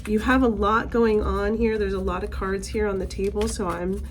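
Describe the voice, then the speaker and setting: Chatty woman reading oracle cards, slightly raspy. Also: mid-range voice, level tone, normal speed, noisy background. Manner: chatty, rambling, with many fillers and restarts. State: slightly raspy, recovering from laryngitis. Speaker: oracle card reader. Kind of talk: casual spiritual card reading.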